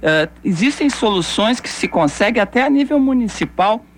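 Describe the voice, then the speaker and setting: A woman's voice: on the high side, expressive, normal speed, a little hiss or hum. A woman from Bahia hosting a call-in radio show, talking bluntly and conversationally with rhetorical questions. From Bahia, Brazil. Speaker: radio host.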